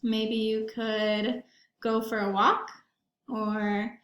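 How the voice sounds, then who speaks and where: High-voiced woman reading aloud to children, roomy echo. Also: very slow. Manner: animated, emphatic, questioning the listeners. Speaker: read-aloud storyteller for young children. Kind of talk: expressive picture-book read-aloud with asides to children.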